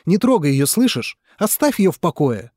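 A man voicing an agitated young male physicist defending the woman he loves, in a husky voice, in heated outbursts. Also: medium pitch, very animated, moderate pace, warm tone, no echo, clean studio sound.

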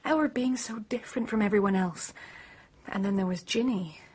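A woman's voice: medium pitch, moderate pace, a little mellow, slightly rough, lightly acted. An actress playing an anxious, earnest young woman suspected of murder in a radio drama. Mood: distressed, earnest.

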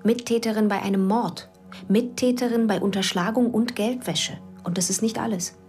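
An actress playing a cool, calculating police investigator who talks tersely, with whispered inner asides. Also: female voice, medium pitch, fairly even intonation, moderate pace, a little mellow, soft background music, natural voice. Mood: cool, pressing. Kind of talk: radio play acting.

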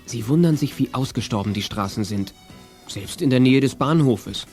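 A man's voice: medium pitch, lively intonation, moderate pace, a little mellow, soft background music, lightly acted. A man narrating a German children's audio drama in a scripted storytelling style.

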